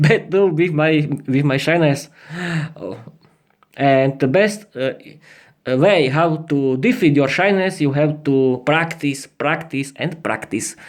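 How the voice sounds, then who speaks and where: A man's voice: fairly high pitch, darkish tone. A man in his thirties with a Slovak accent, improvising a podcast monologue in hesitant learner English, often repeating and restarting his phrases. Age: 30s. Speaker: podcast host.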